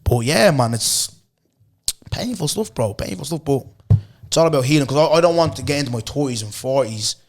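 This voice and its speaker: A man, hosting a podcast in loose, slangy chat, his voice hoarse. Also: mid-range voice, a little fast. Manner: loose, animated storytelling with lots of slang and repeated "bro". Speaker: podcast host. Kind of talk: casual chat.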